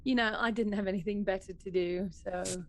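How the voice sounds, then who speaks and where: A woman's voice: medium pitch, steady tone, normal speed. Casual American woman chatting as a player. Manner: informal, conversational. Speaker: player and show crew member. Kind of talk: casual chat.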